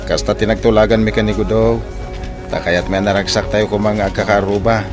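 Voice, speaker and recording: A man playing a jovial village neighbour in a radio drama, folksy and animated as he talks. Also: fairly low pitch, a little fast, over music, natural voice.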